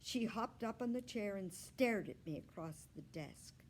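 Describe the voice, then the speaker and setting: A woman storyteller reading a children's book aloud with expressive, deliberate narration. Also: mid-range voice, slight background noise, low-quality recording.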